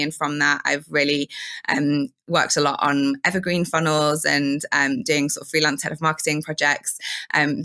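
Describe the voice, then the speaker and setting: Woman in her 30s, croaky from a cold, bright but gravelly, kinda flat tone, lots of ums. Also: deep voice. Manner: chatty with frequent ums, laughs easily. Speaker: guest, marketing consultant. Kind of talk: casual interview chat.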